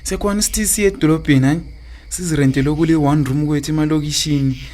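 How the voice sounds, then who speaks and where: A man's voice: fairly high pitch, fairly even intonation, rapid-fire, dark tone, lightly acted. A man with a Zimbabwean accent playing an evasive, cajoling philandering husband in a radio drama. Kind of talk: radio drama acting in Ndebele.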